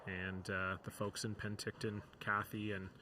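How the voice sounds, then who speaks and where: A man doing relaxed, chatty play-by-play commentary for a curling broadcast. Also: on the low side, a little slow, noisy background. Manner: relaxed, chatty, reads sponsor lists. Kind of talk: sports commentary.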